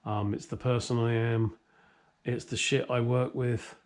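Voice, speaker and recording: A man's voice: mid-range voice, flat delivery, a little slow, darkish tone. Jokey British man, casual and rambling. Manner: jokey, self-deprecating, blunt with mild swearing. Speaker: host of a classic car workshop vlog. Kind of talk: casual, rambling talk to camera. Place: home workshop.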